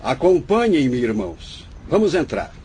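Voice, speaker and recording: A man's voice: fairly high pitch, very animated, a little mellow, a little hiss or hum. A man with a Brazilian accent playing a venerable, patient old spirit doctor who explains solemnly, in a religious radio drama. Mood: welcoming. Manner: didactic, measured, solemn explaining.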